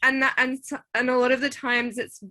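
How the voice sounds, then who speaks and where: A woman with a bright, clear-edged voice interviews a guest in easy conversation. She talks in a chatty, eager way, filling her questions with "like" and warm encouragement. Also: sits high, level tone, fairly quick. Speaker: interviewer, show host. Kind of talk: casual interview chat.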